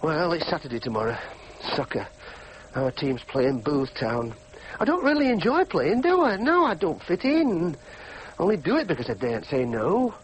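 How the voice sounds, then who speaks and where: An actor playing a sullen, self-doubting young working-class son with a Yorkshire accent, brooding and argumentative in a naturalistic drama. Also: male voice, fairly high pitch, big pitch swings, moderate pace, low-quality recording. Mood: brooding, wistful. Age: young adult. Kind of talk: naturalistic drama acting with monologue. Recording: faint background noise.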